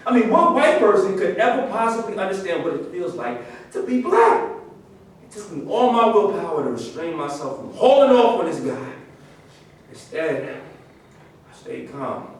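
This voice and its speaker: A man's voice: sits high, big pitch swings, normal speed. A man in a staged reading, playing a proud, indignant former football star recounting his grievances. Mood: offended, restrained. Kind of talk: staged reading of a play, dramatic monologue. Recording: echoey room from far off, faint noise.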